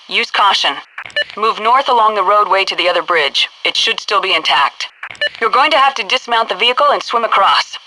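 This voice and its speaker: Bright, forceful female military commander. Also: medium pitch, slight background noise, rough recording. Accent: American. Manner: crisp, authoritative, instructional. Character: brisk female military headquarters mission commander. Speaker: mission control radio dispatcher and tutorial guide. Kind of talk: scripted video game mission briefing.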